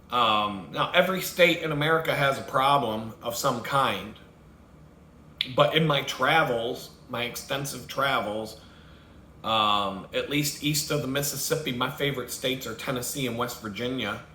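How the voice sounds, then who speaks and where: A man vents in a blunt, profane monologue, swearing freely and chuckling at his own jokes. His voice is bright and sits at a middle pitch. The room echoes around him, with a faint hum of background noise. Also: moderate pace. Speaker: vlogger host. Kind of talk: profane rambling rant.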